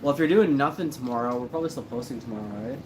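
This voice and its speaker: Relaxed joking man on a noisy livestream. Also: mid-range voice, rapid-fire. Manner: relaxed, joking, a little tipsy. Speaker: host of a paranormal channel livestream. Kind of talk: casual livestream chat reading comments.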